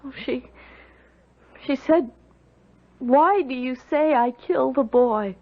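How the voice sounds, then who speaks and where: A woman's voice: high voice, slow, full character voice. An actress playing a sensitive, easily frightened young woman in a radio drama, with an earnest, hesitant, dramatic delivery. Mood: earnest, uneasy. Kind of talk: old-time radio drama acting. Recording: dull old radio recording.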